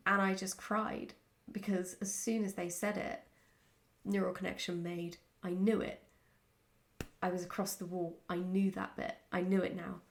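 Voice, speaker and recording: Woman, lowish pitch, gravelly vocal fry, casual vlog chat, reflective, candid, halting delivery. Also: little pitch movement. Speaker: writer answering follower questions.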